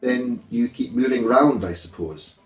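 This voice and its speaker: Courteous, inquisitive storybook girl acted by a male reader, lifted pitch, slow measured delivery. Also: darkish tone, reverberant, faint music behind, natural voice. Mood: curious. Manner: polite, measured. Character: polite, curious, thoughtful young girl.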